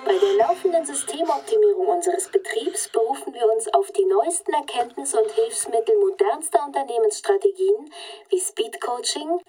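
A polished company-film announcer in a radio play. The high, soft-toned voice has lively, upbeat intonation, with faint music behind. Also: female voice, normal speed, recorded from a distance, rough recording. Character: chirpy polished female corporate presentation announcer. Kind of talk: scripted promotional narration in a radio drama.

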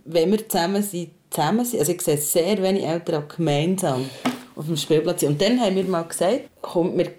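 A woman's voice: on the low side, a little fast, mellow, slight background noise. A young woman hosting a podcast in a casual, chatty style. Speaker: podcast host.

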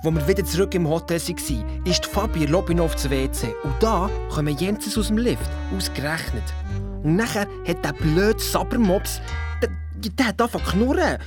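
A grumbling boy sleuth, acted by a woman, chimes in on a children's audio drama, always defending himself. The voice sits fairly low and has a Swiss German accent. Music is clearly audible behind it. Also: expressive, a little fast, lightly acted. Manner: chiming in, defensive complaining. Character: Luiz, grumbling defensive boy detective sidekick. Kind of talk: children's detective radio play narration. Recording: music playing underneath.

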